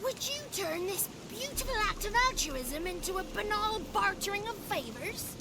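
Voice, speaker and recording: A woman's voice: very high voice, sing-song, moderate pace, very noisy, heavily acted. A woman voicing a crude, playful little boy creature in a video game, speaking in a wordy, theatrical way. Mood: haughty. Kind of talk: video game character acting.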